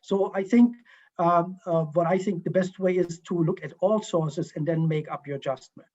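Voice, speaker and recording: A man from East Germany with a German accent, answering questions as a guest historian over a video call, pausing often with ums and uhs. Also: high-pitched, darkish tone. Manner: halting, many ums and uhs, restarts. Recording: muffled video call audio.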